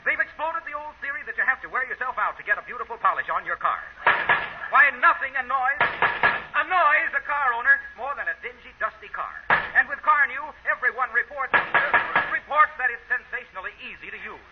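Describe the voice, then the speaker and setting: Eager American pitchman, very high animated voice, emphatic salesmanship, muffled 1930s radio ad. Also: a little fast, very noisy. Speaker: announcer delivering the sponsor's pitch.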